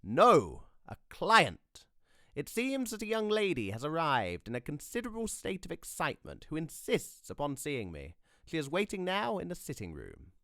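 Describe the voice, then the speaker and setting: A man voicing a brisk, eager male detective who speaks crisply and urgently, in a storybook reading. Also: fairly high pitch, huge pitch swings, pushed and bright, dry recording, studio quality, pitched above the performer's own voice, full character voice. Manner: crisp, urgent, precise speech.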